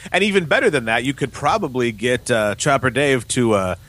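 A man's voice: mid-range voice, expressive, brisk, a bit bright. A man co-hosting a casual podcast, reading news stories with wry, joking commentary. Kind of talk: casual comedic news chat.

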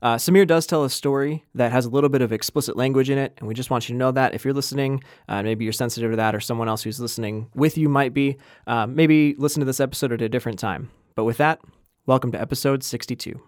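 A man co-hosting a podcast, introducing the episode in an upbeat, quick way with many fillers. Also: medium pitch, no room sound, clean studio sound. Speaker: podcast co-host.

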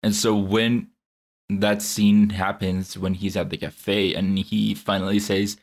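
A man's voice: lowish voice, flat delivery, slow pace. A young man co-hosting a podcast in casual chat, earnestly explaining a film's story at length. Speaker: podcast co-host.

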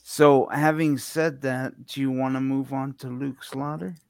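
A man co-hosts a show in loose, unscripted chat. He talks slowly and a little hesitantly, in a very dry, close sound. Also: medium pitch, level tone, vocal fry, darkish tone, clear, resonant tone, no echo. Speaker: radio show co-host.

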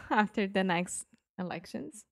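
A woman's voice: medium pitch, lively intonation, takes it slowly, vocal fry, dry recording, clean studio sound. A woman with a Brazilian accent, a native Portuguese speaker, co-hosting a casual English lesson as a learner who asks questions and tries out examples. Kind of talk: casual conversational language lesson.

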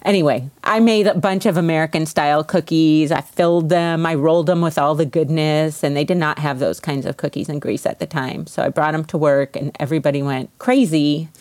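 A woman's voice: low voice, fairly even intonation, a little fast, few pauses, darkish tone, no room sound. A woman speaking as an interview guest, telling her story in a casual, chatty, informal way. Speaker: interview guest, baker and business owner.

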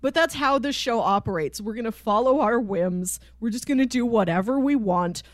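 A woman's voice: a little high, moderate pace, dry recording, clean studio sound. A woman hosting a podcast, talking casually and explaining with enthusiasm about a series she enjoys. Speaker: podcast host.

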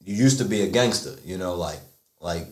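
Brief: man, low voice, loose storyteller, roomy echo. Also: lively intonation, moderate pace. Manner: rambling storytelling with fillers. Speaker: podcast guest. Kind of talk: casual chat. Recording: echoey room.

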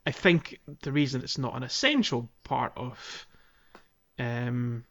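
A man's voice: mid-range voice, expressive, slow pace, a bit bright. A man co-hosting a podcast, explaining a game in casual chat with hesitant, thoughtful phrasing. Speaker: podcast co-host. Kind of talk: casual chat about a video game playthrough.

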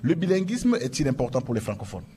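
A man moderates a public forum in a large, reverberant hall. His voice sits at a middle pitch, and faint noise hums underneath. He opens sections with emphatic, announcer-like lifts. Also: very animated, fast, mellow. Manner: announcer-like, with emphatic rising openers. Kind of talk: moderating a live panel forum. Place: event hall with an audience.

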